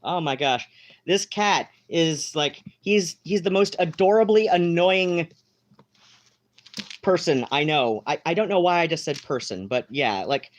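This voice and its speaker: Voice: medium pitch, expressive, unhurried. A live-stream host chatting casually from home, meandering through thoughts with lots of fillers and restarts.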